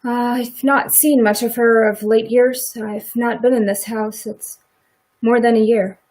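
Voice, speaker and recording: A woman's voice: fairly high pitch, steady tone, a little slow, darkish tone, poor audio. A woman playing a guarded, defensive farm wife in a live radio play, with a dry, pointed way of speaking.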